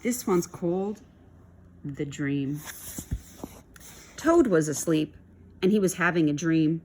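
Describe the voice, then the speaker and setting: A woman reading a storybook aloud to children in an expressive style. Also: deep voice, takes it slowly, a little hiss or hum.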